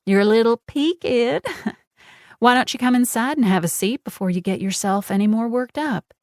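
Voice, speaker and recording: A woman's voice: fairly high pitch, a little mellow, no room sound, studio quality, voice pushed up, natural voice. A woman voicing a sweetly vicious Southern woman with a honey-coated drawl, cooing and mocking. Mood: syrupy mocking. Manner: syrupy, taunting, drawn-out words.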